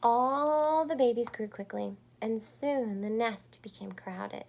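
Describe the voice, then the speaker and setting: A woman reading a picture book aloud to children, expressive, with deliberate phrasing, dramatic pauses and small explanatory asides. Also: a little high.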